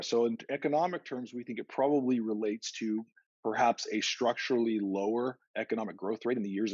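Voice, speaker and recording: A man's voice: on the low side, darkish tone, no room sound. A man giving methodical, conversational market commentary as an investment analyst on a conference call. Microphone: conference call line. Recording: dull, narrow conference call audio.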